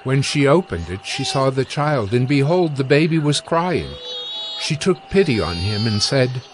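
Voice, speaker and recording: A man's voice: medium pitch, big pitch swings, normal speed. Man narrating scripture over music.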